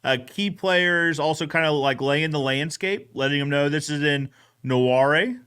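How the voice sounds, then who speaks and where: A man with a smooth, clean voice that sits slightly high for a male. He hosts a casual recap chat, talking in an upbeat, informal and colloquial manner. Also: unhurried, fairly bright tone. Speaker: show host, fence expert.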